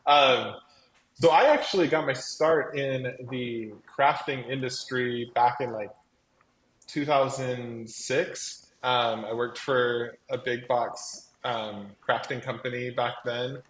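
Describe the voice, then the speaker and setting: A man with a carrying, mid-pitched voice talks in a chatty, effusive way, telling his story casually as a guest. His words are loosely strung with "like". The room adds echo, and there is faint noise behind him. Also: fairly even intonation, slow pace, a bit bright. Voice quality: voice that carries. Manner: chatty, effusive, peppers talk with "like". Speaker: guest, crafting company co-founder. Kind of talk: casual live chat, personal storytelling.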